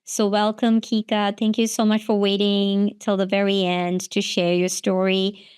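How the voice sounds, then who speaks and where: A woman co-hosting a podcast over a video call, speaking hesitantly with frequent pauses. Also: mid-range voice, monotone, a little mellow, smooth, no room sound, clean studio sound. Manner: hesitant, many ums and uhs. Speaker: podcast co-host.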